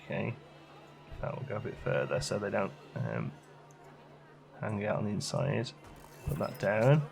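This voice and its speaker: Male let's play host, lowish gravelly voice, casual, chatty, thinking-aloud delivery. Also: big pitch swings, unhurried, a little mellow, faint music behind. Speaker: gaming channel host.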